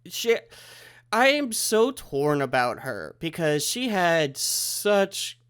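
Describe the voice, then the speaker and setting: A man's voice: a little high, very animated, slow pace, a bit bright, smooth. A man hosting a casual podcast chat over the internet. He sounds congested from a head cold and talks eagerly, often trailing off mid-thought. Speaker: podcast host. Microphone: remote recording over the internet.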